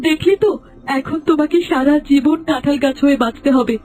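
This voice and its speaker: A woman's voice: high-pitched, a little fast, a little mellow, close to an everyday voice. A woman voicing a scolding village wife in a cartoon fable, reproachful and preachy. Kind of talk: Bengali cartoon fable voice acting.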